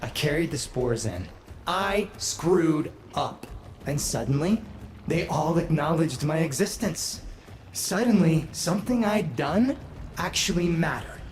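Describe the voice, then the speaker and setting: A man's voice: fairly high pitch, lively intonation, unhurried, echoey room, noisy background. A man playing a bitter, self-pitying, aggrieved son in a video game, brooding and sardonic.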